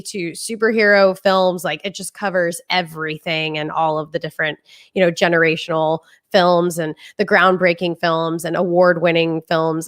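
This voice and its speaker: A woman's voice: fairly low pitch, a bit bright. A woman co-hosting a podcast, casually and enthusiastically chatting and giving movie recommendations. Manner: enthusiastic, conversational. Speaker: podcast co-host.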